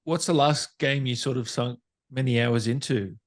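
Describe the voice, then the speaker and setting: A man's voice: medium pitch, normal speed, dry recording, clean studio sound. A man hosting a casual interview, putting informal questions to his guest. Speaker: interviewer, host.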